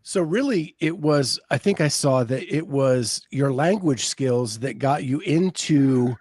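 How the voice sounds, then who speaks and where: A man's voice: medium pitch, lively intonation, measured pace, a little mellow, no room sound. An American man hosting a podcast interview, curious and asking leading questions in a casual way. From United States. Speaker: podcast host.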